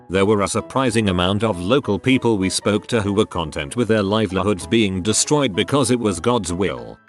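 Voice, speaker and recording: A man narrating a compilation of short first-person online stories in a steady reading manner. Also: fairly low pitch. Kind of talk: scripted narration. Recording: faint music bed behind voice.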